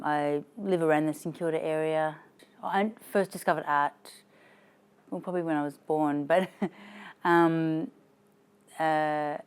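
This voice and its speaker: A woman's voice: deep voice, a little mellow, smooth. A woman from Israel, a resident artist, chatting hesitantly with many fillers in a documentary interview.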